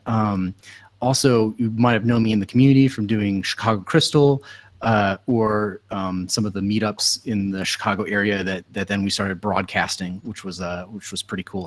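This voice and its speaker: A man hosting a casual chat on a video call. He sounds upbeat, laughs often and leans on "like" as a filler. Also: lowish voice. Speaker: host and announcer. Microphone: computer mic on a video call.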